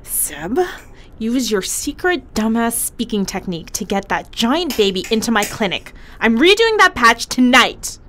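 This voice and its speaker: A brash and foul-mouthed medic speaks in a high, lively voice, blunt and quick to get sarcastic or defensive. It is audio drama acting over faint ambient noise. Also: female voice. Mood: angry, exasperated.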